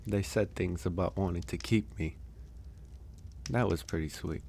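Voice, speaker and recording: A gentle, solitary werewolf acted by a man speaks in a deep, soft voice for an ASMR audio roleplay. He takes his time, with slow, hesitant phrasing, sighs and pauses. A little noise sits behind the voice. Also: creaky. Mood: sheepish, amused. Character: gentle solitary male werewolf caring for an injured stranger.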